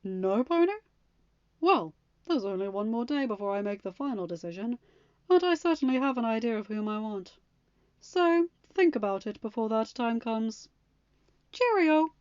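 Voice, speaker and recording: A woman's voice: on the high side, big pitch swings, normal speed, darkish tone, clear, resonant tone, no echo, highs cut off. A woman playing a pompous posh pony suitor. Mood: smug. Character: smug scheming male pony suitor.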